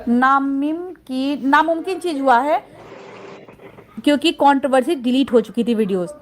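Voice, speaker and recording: A woman's voice: high voice, moderate pace. A woman doing casual vlog commentary, reading messages aloud and addressing viewers directly in an indignant, emphatic manner.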